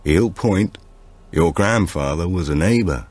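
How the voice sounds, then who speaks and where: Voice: low-pitched, expressive, a little slow, darkish tone, breathy edge, no echo, heavily acted. A man voicing an old retired seaman recalling local lore in an audiobook thriller. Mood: reminiscing.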